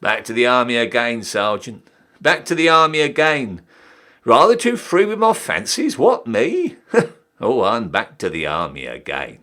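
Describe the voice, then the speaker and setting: Man acting a cheeky old-style British soldier, wildly animated. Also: mid-range voice, unhurried. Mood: jaunty. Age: young adult. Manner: theatrical, emphatic, swooping delivery. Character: cheeky down-at-heel ex-soldier re-enlisting, Victorian British army ranker. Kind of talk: dramatic poetry recital.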